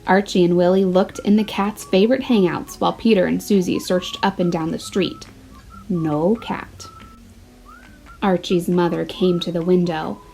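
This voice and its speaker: Woman first grade teacher doing a read-aloud lesson, expressive, talks right to the kids. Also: lowish voice, normal speed, vocal fry. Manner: expressive, addresses children directly with questions. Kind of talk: picture book read-aloud and lesson for young children.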